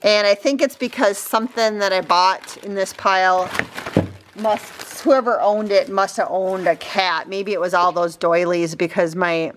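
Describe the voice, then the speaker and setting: A woman with a mid-pitched, slightly raspy voice talks casually through a show-and-tell. She rambles a little and corrects herself as she goes. There is some light noise behind her. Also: steady tone, measured pace. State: throat getting slightly raspy from allergies. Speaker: crafting vlogger.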